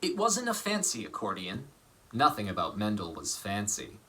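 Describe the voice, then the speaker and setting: A man reading a children's story aloud as an actor, with deliberate, theatrical phrasing and dramatic emphasis. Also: on the low side, wildly swooping pitch, pushed and bright, a little hiss or hum, low-quality recording. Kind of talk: expressive storybook narration.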